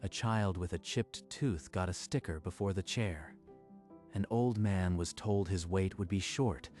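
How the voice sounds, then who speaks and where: A man narrating a scripted story in a measured, even manner. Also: low-pitched, faint music behind.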